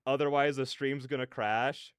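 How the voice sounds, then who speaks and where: Clear-voiced man rambling casually on a livestream. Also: mid-range voice, normal speed, no echo, studio quality. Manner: rambling, animated slangy asides, self-interrupting. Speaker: livestreamer, content creator. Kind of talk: casual livestream rant and chat.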